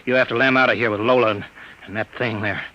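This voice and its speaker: A jumpy crook from an old radio play stammers and frets in a bright, tense voice. The sound is a dull, lo-fi 1950s broadcast. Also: male voice, lowish voice, steady tone, fast talker. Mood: scared. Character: nervous, stammering male crook. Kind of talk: 1950s radio drama acting.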